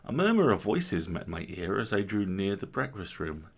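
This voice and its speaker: A man reading a literary story aloud as a dramatic narrator, with theatrical, emphatic phrasing and deliberate pauses. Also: low-pitched, sing-song, creaky, slightly rough. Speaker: story reader, host.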